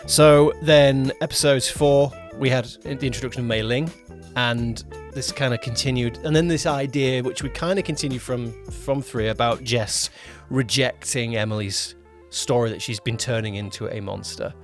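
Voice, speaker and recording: A man's voice: medium pitch, steady tone, moderate pace, few pauses, soft background music. A man co-hosting a podcast as its producer, chatting casually and explaining things with frequent backtracking. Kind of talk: casual chat, project update.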